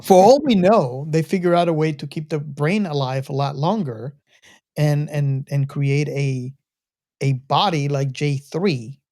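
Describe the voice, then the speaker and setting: A man co-hosting a casual film discussion, reasoning aloud in a measured way. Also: on the high side, a little mellow. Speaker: movie discussion podcast co-host.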